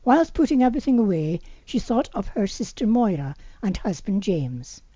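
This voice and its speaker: A woman's voice: medium pitch, sing-song, normal speed, mellow, no echo, dull audio. A woman reading her own fiction aloud as an amateur audiobook narrator, occasionally stumbling over words. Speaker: author reading their own novel aloud.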